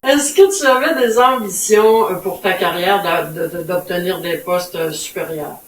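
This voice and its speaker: A woman's voice: fairly low pitch, normal speed. A woman asking conversational questions as an interviewer. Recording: echoey room, people audible behind.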